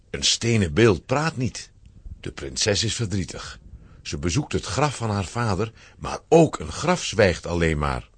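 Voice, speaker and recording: A man's voice: low-pitched, husky, no room sound. A man narrating a scripted children's story in a dramatic storytelling manner.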